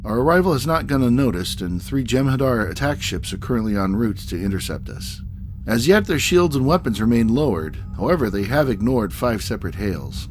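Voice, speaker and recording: A man playing a composed, diplomatic starship captain in a science-fiction audio drama, crisp and commanding. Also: medium pitch, sing-song, a little fast, darkish tone, soft background music, natural voice. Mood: formal log.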